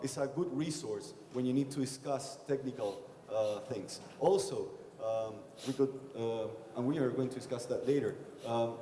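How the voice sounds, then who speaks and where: A man's voice: medium pitch, warm tone, slight background noise. A young man from Chile, speaking as a panelist at a conference, telling stories with many hesitations. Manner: hesitant, many fillers, self-described timid. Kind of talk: conference panel talk, storytelling. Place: large conference hall. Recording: echoey conference hall, long reverb.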